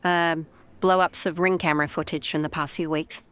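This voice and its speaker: A woman's voice: sits low, fairly even intonation, moderate pace, a bit bright, clear, resonant tone. An actress playing a helpful, businesslike female police team member in an audio drama. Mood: matter-of-fact. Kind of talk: naturalistic audio drama dialogue.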